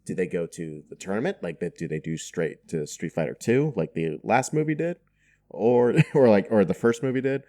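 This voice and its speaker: Male podcast guest, low-pitched voice, informal, chatty delivery with a joking streak. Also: very animated, normal speed, mellow. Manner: chatty, jokey, often chuckling. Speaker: podcast guest working in film. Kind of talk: casual podcast discussion.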